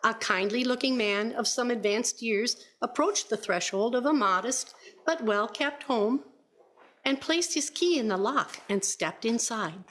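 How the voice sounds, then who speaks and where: A woman's voice: fairly high pitch, a little slow, fairly bright tone, low-quality recording. A woman narrating a radio play from a script in storytelling style.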